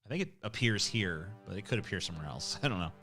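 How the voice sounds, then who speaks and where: A man's voice: deep voice, expressive, fast, sharp tone, faint music behind. A man hosting a livestream, reading chat comments aloud in a rambling, profane, casual way. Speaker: livestream host.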